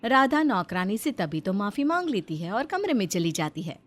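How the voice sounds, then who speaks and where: A woman's voice: medium pitch, sing-song, normal speed. A woman reading scripted narration for a story as its narrator.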